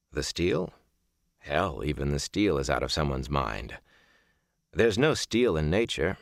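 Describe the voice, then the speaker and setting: Male narrator, low register with lively intonation, for reflective, scripted first-person audiobook. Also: creaky, no room sound, studio quality.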